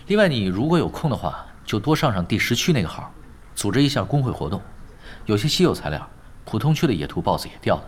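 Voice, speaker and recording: Low, laid-back wry gaming veteran. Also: male voice, sing-song, fast, slight background noise. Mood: calm, reassuring. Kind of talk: Chinese audio drama acting. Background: music.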